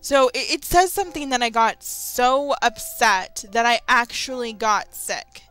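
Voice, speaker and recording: A young adult woman giving a candid, confessional vlog talk, speaking haltingly and often repeating phrases. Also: fairly high pitch, a bit bright, rough recording. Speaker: video creator. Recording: music behind the voice. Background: background music.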